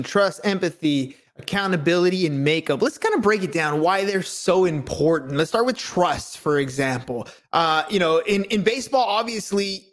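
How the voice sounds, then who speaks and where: An interview host who talks enthusiastically and sets up questions by building points in quick lists. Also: mid-range voice. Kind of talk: conversational interview.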